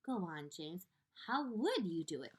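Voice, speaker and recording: A woman voicing a gentle, encouraging female ladybug in a read-aloud storybook. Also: lowish voice, big pitch swings, a little slow.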